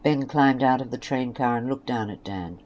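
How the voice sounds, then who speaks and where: A woman narrates a scripted story in a low register. The recording is homemade, with clear noise behind the voice. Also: normal speed, a little mellow, dull audio.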